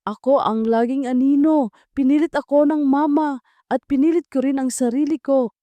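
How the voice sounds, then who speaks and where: A woman narrator plays a young Filipina villainess in an audio drama, bitter and full of envy. Her pitch climbs high as she accuses, emotional and wounded. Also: fairly even intonation, moderate pace, dry recording, lo-fi sound, lightly acted. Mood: bitter, anguished.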